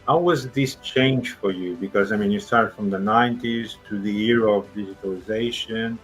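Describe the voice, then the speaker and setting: A man's voice: fairly low pitch, moderate pace, soft background music, rough recording. A male podcast host asking questions in a hesitant way, with non-native phrasing. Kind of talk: interview questions.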